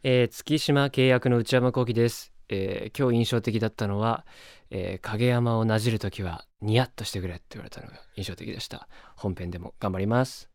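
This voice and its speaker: A man's voice: lowish voice, brisk, darkish tone, no echo, clean studio sound. A man who works as a voice actor, giving a short cast comment.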